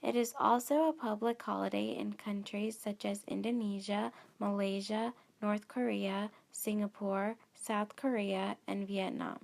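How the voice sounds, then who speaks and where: A woman reading scripted narration for a student documentary in a steady, measured way. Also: medium pitch, creaky.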